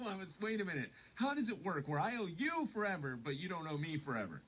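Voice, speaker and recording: An American man hosting a morning radio show in unscripted chat, telling stories and acting out reactions in an animated way. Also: high-pitched, a little fast. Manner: animated storytelling, voices quoted reactions. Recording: noisy recording of radio playback.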